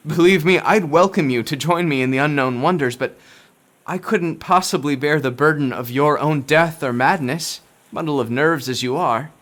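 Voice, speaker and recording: A man's voice: a little high, moderate pace, fairly bright tone, lightly acted. Man playing Warren, a grandiose, obsessed occult scholar. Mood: condescending, resolute. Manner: grandiose, condescending, formal old-fashioned speech. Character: Warren, driven, condescending occult scholar obsessed with forbidden knowledge. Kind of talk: horror radio drama acting.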